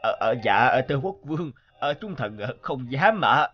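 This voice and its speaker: A man's voice: medium pitch, lively intonation, moderate pace, highs cut off, close to an everyday voice. A man doing a timid, stammering cartoon courtier. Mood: nervous. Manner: hesitant, stammering. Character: timid, stammering royal minister, fearful of his king. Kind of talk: animated fairy-tale dialogue.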